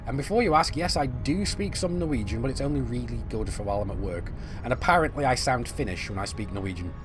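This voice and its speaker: A man's voice: mid-range voice, lively intonation, quick pace, background noise. A middle-aged man, chatting casually on a live stream while he plays, reading and answering viewer messages with lots of fillers and restarts. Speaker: game streamer.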